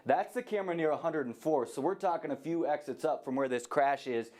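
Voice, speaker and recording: A man's voice: fairly high pitch, brisk, darkish tone. An American man giving a conversational live TV traffic report.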